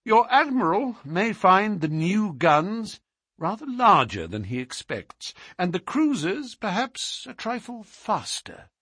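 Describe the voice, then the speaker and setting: A man performs a self-assured gentleman detective from a classic mystery story. He speaks with courteous irony and precise phrasing, in a lively, swooping pitch with a slightly husky edge and very little room sound. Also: slow pace, dry recording, studio quality, full character voice. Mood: wry, courteous. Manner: courteous, ironic, precise, unruffled.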